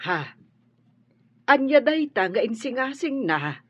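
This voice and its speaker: A husky, anxious old grandmother in a radio play, as a woman acts her out, her voice rising and falling as she pleads. The audio is dull and lo-fi. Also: fairly high pitch, very animated, slow pace, heavily acted. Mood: concerned. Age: older. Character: worried elderly grandmother and mother. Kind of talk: radio drama acting.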